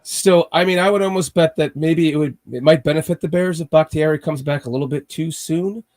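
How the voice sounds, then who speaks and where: A man hosting a casual sports podcast. He has a cold and sounds slightly raspy, and he rambles with frequent restarts, like a nervous fan. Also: fairly high pitch, fairly quick, darkish tone. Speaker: podcast host.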